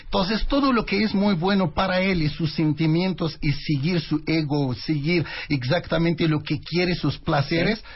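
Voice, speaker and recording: A man who learned as a later language, a guest on a radio show, explaining slowly with many pauses. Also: fairly high pitch, breathy edge. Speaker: interview guest. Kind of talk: radio interview. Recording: dull lo-fi radio sound.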